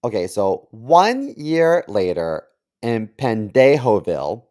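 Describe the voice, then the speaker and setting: A man's voice: fairly low pitch, very animated, takes it slowly, darkish tone. A man co-hosting a comedy podcast in casual banter and comic story reading. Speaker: podcast co-host.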